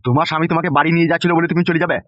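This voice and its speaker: A man's voice: on the high side, steady tone, rapid-fire, a bit bright, dry recording, dull audio, natural voice. A man voicing a bullying, lecherous local politician in a comic cartoon, crude and blustering. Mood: possessive demanding. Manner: blustering, crude, exclaiming in repeats.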